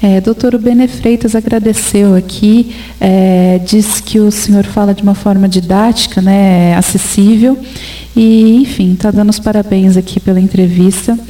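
A woman's voice: medium pitch, fairly even intonation, moderate pace, dark tone. A woman hosting an interview, chatting and prompting the guest with tag questions. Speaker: interviewer host. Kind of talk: interviewing, reading listener questions.

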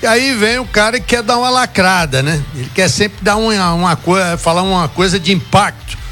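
A man commentator sounds off with firm opinions on a radio football talk show. His voice is high and bright, with faint music running underneath. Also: brisk. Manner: opinionated, confident. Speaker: sports commentator. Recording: radio broadcast with music behind.

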